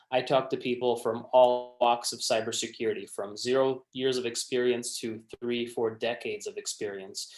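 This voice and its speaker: A man's voice: medium pitch, reverberant, rough recording. A man who was raised in Michigan, a recruiter giving a friendly, casual resume workshop to students, with many fillers and restarts. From born in Romania, raised in Michigan.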